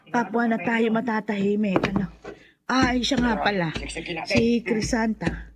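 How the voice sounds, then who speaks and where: A woman's voice: mid-range voice, close to an everyday voice. An actress playing an anxious, sorrowful mother of a grown daughter in a radio horror drama, speaking emotively and hesitantly. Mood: relieved.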